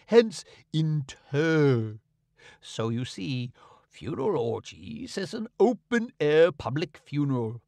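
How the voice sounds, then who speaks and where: A man's voice: fairly high pitch, sing-song, slow pace, gravelly, a little mellow, no room sound, full character voice. A man voicing a pompous, long-winded old male swindler who poses as an Englishman, drawling and self-satisfied. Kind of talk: audiobook character reading.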